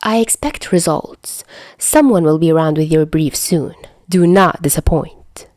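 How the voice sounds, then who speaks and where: A woman voicing a stern, composed female boss who gives orders in a firm, deliberate way in an audio story. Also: deep voice, darkish tone, no room sound, clean studio sound. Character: stern, composed female superior giving orders to her subordinate.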